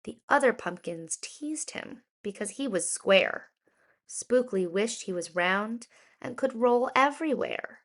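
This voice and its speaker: A woman reading a rhyming children's story aloud in an expressive, deliberate storytelling manner with emphasized words. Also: on the high side. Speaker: children's storybook reader, educator.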